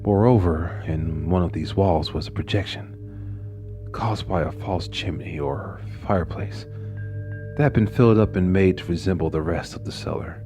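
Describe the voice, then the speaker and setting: A very low-voiced, tormented murderer, acted by a man, confesses in a theatrical Gothic horror style over clear music. His delivery is brooding and dramatic, that of a madman narrating his own dark tale. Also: moderate pace. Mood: coolly deliberate. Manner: theatrical, swings between breathy whispers and furious outbursts.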